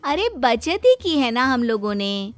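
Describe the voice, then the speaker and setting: High-pitched feisty young daughter-in-law, voiced by a woman. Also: very animated, measured pace, dry recording, faint music behind. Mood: cheeky. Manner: indignant, assertive, exclamatory. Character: brash, outspoken young Indian daughter-in-law, quick to complain. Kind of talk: animated Hindi moral story acting.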